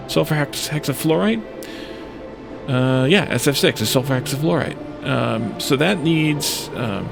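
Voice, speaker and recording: A man's voice: mid-range voice, gravelly. A man giving casual let's play commentary, thinking aloud in a hesitant, self-questioning way.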